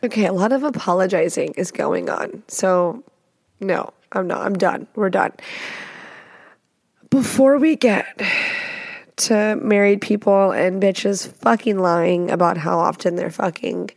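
A woman's voice: medium pitch, a little slow, gravelly, darkish tone, no echo. A woman hosting a podcast alone, talking bluntly and profanely, stuffy and nasal from a cold, recorded in a small closet full of clothes. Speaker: podcast host. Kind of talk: casual solo podcast rant.

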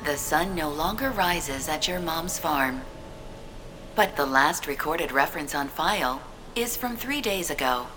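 A woman playing a polite, obedient AI assistant in an audio drama, speaking in formal, measured sentences. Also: low-pitched, expressive, over music, poor audio. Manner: formal, measured, matter-of-fact.

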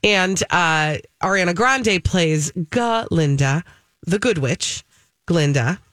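A woman co-hosting a casual radio entertainment show, talking with expressive emphasis. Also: fairly low pitch, slow, no echo, studio quality. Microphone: close studio microphone.

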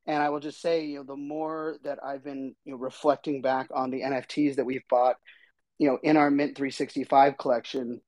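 Male podcast host, middle-aged, a bit hoarse, rambling casual delivery, dry sound. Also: mid-range voice, flat delivery, normal speed, darkish tone, dry recording, poor audio. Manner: rambling, chatty, many fillers. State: slightly hoarse. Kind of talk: casual solo podcast talk.

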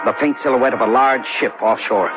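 An actor playing a determined small-town sheriff who narrates his own case in steady, terse first person. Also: mid-range voice, fairly quick, over music, close to an everyday voice. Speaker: narrator and lead character. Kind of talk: 1970s radio drama acting. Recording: dull lo-fi old radio broadcast.

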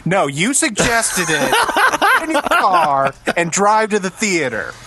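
A man's voice: high voice, sing-song, slow, sharp tone, a little hiss or hum. A man co-hosting a podcast, telling stories casually in a dry, sarcastic way. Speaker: podcast co-host. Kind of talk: casual chat and storytelling.

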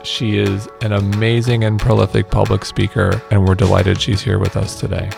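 Gravelly low-voiced male podcast host. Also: completely flat delivery, moderate pace, over music. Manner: enthusiastic, heavy on praise. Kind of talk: interview podcast with ad reads.

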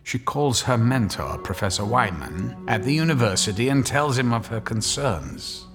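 A man's voice: medium pitch, lively intonation, normal speed. Male narrator for an audio thriller. Mid-range voice, scripted storytelling, music bed.

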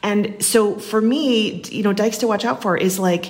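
A woman's voice: medium pitch, moderate pace. An American woman answering interview questions as a guest, chatty, reflective and wry. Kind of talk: casual interview conversation.

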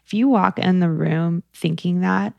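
A woman's voice: lowish voice, unhurried, vocal fry, mellow, no echo. A young woman founder telling her story in a casual interview, candid and chatty with frequent fillers. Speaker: guest, company founder.

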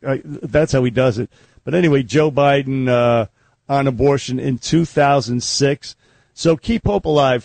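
A man's voice: mid-range voice, creaky, a little mellow, no room sound. A man hosting a political talk radio show, blunt and combative in his opinions.

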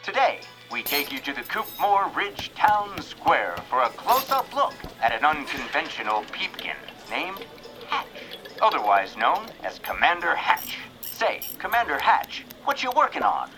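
A man's voice: fairly high pitch, very animated, a little slow, music in the background. A man playing a jovial, hammy radio show host in an old-fashioned announcer style. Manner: hammy, upbeat, punchy.

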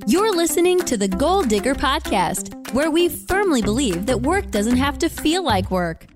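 A woman's voice: high voice, big pitch swings, normal speed. A woman reading a scripted podcast intro in an upbeat, polished announcer style. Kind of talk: scripted promotional intro narration. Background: music.

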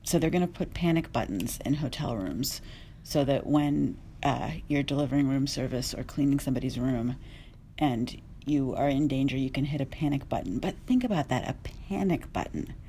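Woman journalist, panel talk, low pitch, mellow tone, earnest, measured. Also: animated, a little hiss or hum. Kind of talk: panel discussion.

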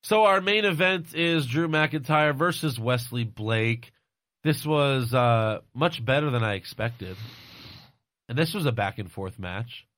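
A man's voice: mid-range voice, unhurried, fairly bright tone, no room sound. Blunt, argumentative young man, dry sound. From United States. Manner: argumentative, cursing, firm. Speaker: podcast co-host. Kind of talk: casual wrestling chat.

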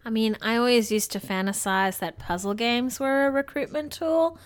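A woman's voice: a little high, moderate pace. A woman co-hosting a casual film review podcast, talking in an informal, playful and opinionated way. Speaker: podcast co-host.

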